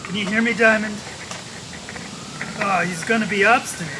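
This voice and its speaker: An actor playing a coolly threatening crime boss who gives deliberate orders in an old-time radio detective drama. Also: male voice, high voice, steady tone, lightly acted. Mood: cool menace. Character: menacing male crime boss giving cool orders. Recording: noisy, hissy radio play recording.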